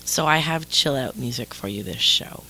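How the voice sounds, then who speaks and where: Very low, hoarse woman radio DJ. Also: lively intonation, normal speed, pushed and bright. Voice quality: scratchy. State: hoarse, stuffed up from a cold. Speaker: radio DJ host. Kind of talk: casual radio show hosting.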